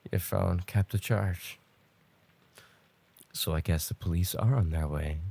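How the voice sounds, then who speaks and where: A man playing a possessive, smugly menacing stalker in an ASMR roleplay, taunting in a mock-tender, unhurried way with a gravelly, husky voice. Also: low voice, mellow, dry recording, heavily acted. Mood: controlled, threatening.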